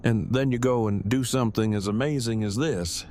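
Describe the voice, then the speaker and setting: A man's voice: lowish voice, moderate pace, darkish tone, slight background noise, close to an everyday voice. An actor playing a shy, earnest man in love, speaking in stiff, wordy, formal phrases in an audio drama. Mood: earnest, tender.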